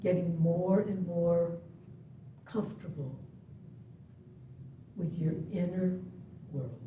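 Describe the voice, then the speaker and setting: A woman meditation instructor leading a guided practice in soothing, deliberate phrases with long pauses between them. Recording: muffled, echoey, distant web-call audio, faint rumble.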